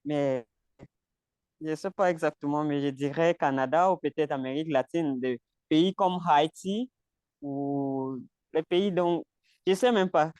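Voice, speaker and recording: A man from Cameroon whose first language is English leads a relaxed French practice session over a video call. His voice is smooth and fairly high for a man, and the sound is close and dry. He hesitates and repeats words, laughing lightly between phrases. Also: a little slow, no echo. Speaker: conversation group leader. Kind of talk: casual language practice chat.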